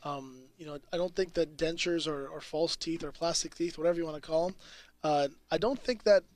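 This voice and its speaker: A man in his thirties from Alberta, a dentist guest on a radio show, relaxed and laughing easily. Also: fairly high pitch, brisk. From Alberta, Canada. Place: small radio studio.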